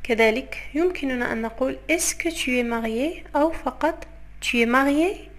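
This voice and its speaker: A woman leads a phrase lesson. She says each French line slowly and carefully, repeats it, and then explains it at a quicker pace. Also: high voice. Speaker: French teacher. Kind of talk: phrase lesson for Arabic speakers.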